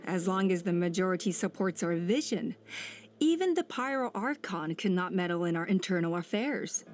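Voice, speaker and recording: An actress playing a cold, scheming woman who seized power in her tribe, speaking calmly, menacingly and condescendingly. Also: on the low side, normal speed, lightly acted. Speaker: villain. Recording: game voice over music.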